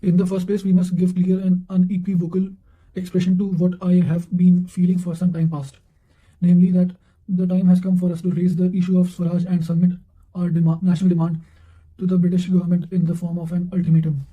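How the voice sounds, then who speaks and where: A man with a high voice reads quotations from revision notes. His tone is very soft-edged and dark, and his delivery stays measured and fairly flat, with an occasional stumble over a word. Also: low-quality recording. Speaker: narrator of study revision notes. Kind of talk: reading quotations aloud from notes.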